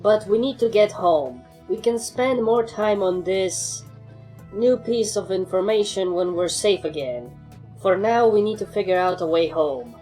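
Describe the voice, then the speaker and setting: A woman's voice: mid-range voice, measured pace, mellow, faint music behind, close to an everyday voice. A woman voicing an assertive, sarcastic female pony adventurer in a fan fiction story, with a dry, bossy manner. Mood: firm, resolved.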